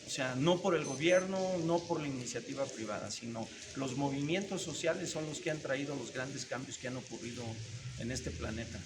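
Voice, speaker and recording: A man's voice: mid-range voice, normal speed, a little hiss or hum, poor audio. A man with a Mexican accent, answering interview questions in earnest, repeating key words for emphasis. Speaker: interview guest, businessman. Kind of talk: casual interview.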